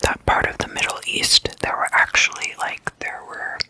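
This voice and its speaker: An ASMR host speaking in a breathy whisper, explaining things casually and haltingly in short phrases. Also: sharp tone.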